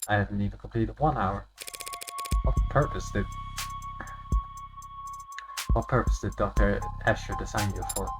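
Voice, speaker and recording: A man voicing a wry, sardonic high-powered defense lawyer in a video game, with dry, questioning delivery. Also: normal speed, long pauses, close to an everyday voice, rough recording. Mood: probing, wry. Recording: loud music behind the voice.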